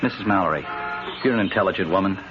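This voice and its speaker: An actor playing a blunt, sarcastic, skeptical young brother in a 1970s radio drama. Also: male voice, low voice, very fast, natural voice. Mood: exasperated. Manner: sarcastic, exasperated. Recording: dull, noisy old radio broadcast.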